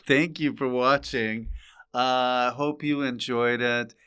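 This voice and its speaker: A man's voice: medium pitch, steady tone, slow pace, noisy background. A man hosting a podcast in casual, jokey conversation, informal and playful. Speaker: podcast host. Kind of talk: casual comic podcast chat.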